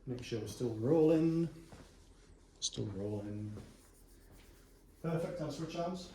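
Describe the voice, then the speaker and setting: Casual trainer in an echoey gym. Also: male voice, fairly high pitch, slow, a little hiss or hum. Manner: relaxed, reassuring instructions with frequent fillers. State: going hoarse. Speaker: personal trainer. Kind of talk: coaching a client through stretches. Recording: echoey room from a distance.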